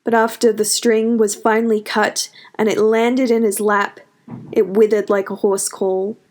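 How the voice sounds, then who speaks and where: Expressive female story narrator. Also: mid-range voice, a little slow. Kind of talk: scripted fan fiction narration.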